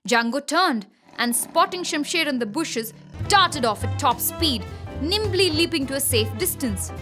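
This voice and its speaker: A woman narrating a scripted children's moral story as an expressive, careful storyteller. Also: high-pitched, a little slow, over music.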